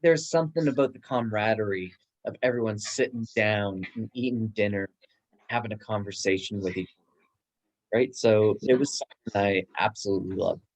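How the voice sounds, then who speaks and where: Chatty, enthusiastic low-pitched man. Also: moderate pace, rough recording. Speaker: book club guest, bookstore worker. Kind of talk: casual book discussion.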